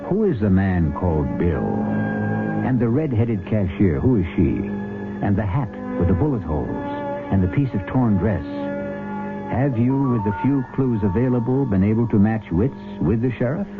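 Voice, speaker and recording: An American man hosting a 1970s radio mystery, narrating in a dramatic, portentous style with rhetorical flourish. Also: on the low side. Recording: muffled vintage radio broadcast, loud music.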